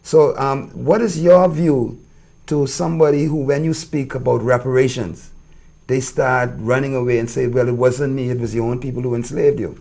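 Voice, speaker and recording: Male talk host, gravelly, mid-low voice, earnest and conversational. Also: steady tone, normal speed, warm tone. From Bahamas. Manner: earnest, frequent low murmured agreements. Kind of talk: casual interview conversation.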